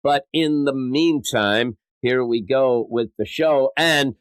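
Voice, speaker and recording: A sick, stuffed-up man hosting a podcast in a rambling, self-mocking way, his voice a little rough and wavering. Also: mid-range voice, slow pace, dry recording, studio quality. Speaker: podcast host. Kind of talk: casual podcast monologue.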